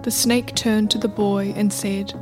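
A woman narrating a scripted folktale as a measured storyteller. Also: medium pitch, flat delivery. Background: music.

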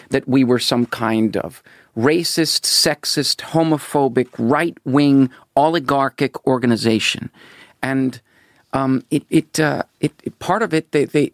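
Gravelly-voiced man repeating his words. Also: medium pitch, no room sound, studio quality. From Venezuela. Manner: earnest, repeats words when starting sentences. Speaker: interview guest. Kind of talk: political interview.

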